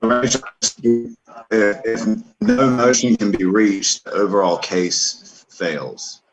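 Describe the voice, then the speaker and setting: Male board member, deep voice, slow pace, short informal meeting remarks. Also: steady tone, music in the background, low-quality recording.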